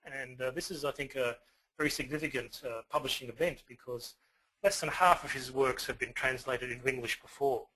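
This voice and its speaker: An Australian man talks through an online lecture with a bright, slightly husky voice. He fills his pauses with many "uh"s as he goes. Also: mid-range voice, rough recording. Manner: hesitant, many fillers. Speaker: guest lecturer.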